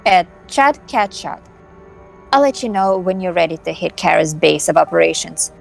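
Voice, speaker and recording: A woman's voice: lowish voice, animated, normal speed, gravelly, faint music behind, poor audio. A woman playing a breezy, flirty, confident hacker who talks casually and familiarly in an amateur visual novel drama. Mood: breezy, teasing.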